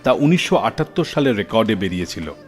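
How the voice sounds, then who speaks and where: Man, radio show host, scripted storytelling, animated intonation, dramatic pauses, soft music behind. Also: lowish voice. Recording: long reverb, music bed behind.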